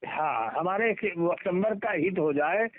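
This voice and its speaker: A man's voice: high-pitched, unhurried. A middle-aged man in an informal phone conversation, repeating words and phrases emphatically, as a union leader. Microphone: telephone. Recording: muffled recorded phone call.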